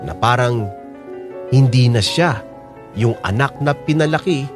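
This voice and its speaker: Man narrator, earnest, wistful, mid-pitched, music underneath. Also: animated, measured pace. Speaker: narrator of a drama. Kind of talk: scripted reflective narration.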